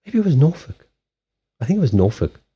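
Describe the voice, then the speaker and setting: A man's voice: medium pitch, sing-song, a little fast, warm tone, breathy edge, lo-fi sound. A man from Mozambique with a South African accent and Portuguese as his first language, chatting casually and amusedly on a live stream. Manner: rambling, amused, self-correcting. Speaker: streamer doing card readings.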